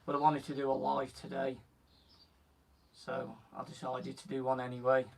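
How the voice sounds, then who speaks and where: Croaky man talking through a painting. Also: medium pitch, normal speed. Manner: relaxed, talks while working, frequent "so" and "just gonna". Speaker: painting instructor on a live stream. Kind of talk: casual step-by-step demonstration. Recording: voice from a distance from the mic, faint background noise.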